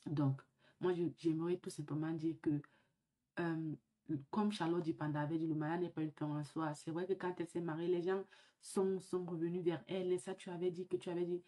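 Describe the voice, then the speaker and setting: A woman's voice: on the low side, little pitch movement, warm tone. A woman hosting a vlog while sick with the flu. She talks in an earnest, reflective way and often stops to hesitate and restart. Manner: halting, many fillers and restarts. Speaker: vlog host. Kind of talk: casual vlog monologue.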